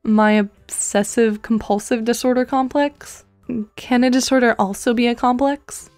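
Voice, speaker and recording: A woman voicing a dry, precocious teenage girl in a web comic dub, speaking in a measured, formal, deadpan way. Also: fairly high pitch, faint music behind, lightly acted. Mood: dry, needling.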